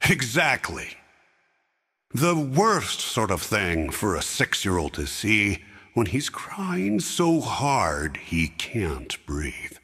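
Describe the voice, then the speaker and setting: Casting: a man voicing a sorrowful aging king, deep husky voice with a forceful edge and wide swings in pitch, heard with long hall reverb. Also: huge pitch swings, exaggerated voice. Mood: grieving. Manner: slow, heavy reminiscing. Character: grieving aging king, stern yet sorrowful. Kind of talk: fan audio drama acting.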